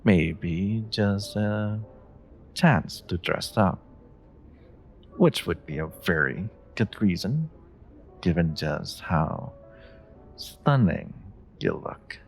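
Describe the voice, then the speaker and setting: A man acts out a confident, flirty stranger in a romantic audio roleplay. His low voice has a gravelly creak and swings expressively as he teases and charms the listener in a playful, coy way. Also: expressive, faint music behind, heavily acted. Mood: flirty, charming. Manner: teasing, coy, unhurried, murmuring endearments. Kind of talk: romantic audio roleplay, one-sided dialogue addressed to the listener.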